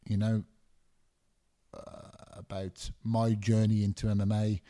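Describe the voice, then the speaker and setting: A man's voice: on the low side, level tone, a little slow, warm tone. A middle-aged man, born in Scotland and raised in England, talking candidly as a guest on a casual podcast, rambling with lots of fillers. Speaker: MMA referee, guest.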